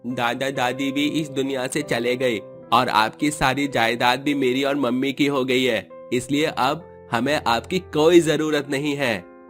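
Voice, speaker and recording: A man's voice: mid-range voice, fairly even intonation, measured pace, a bit bright, soft background music, lightly acted. A man voicing a callous grown son in a moral story, cold and dismissive. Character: callous grown son disowning his father.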